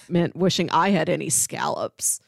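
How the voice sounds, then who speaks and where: A woman's voice: low voice, expressive, moderate pace, creaky, no echo. Woman chatting casually, close dry sound. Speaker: film podcast co-host. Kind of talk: casual chat, film recommendations.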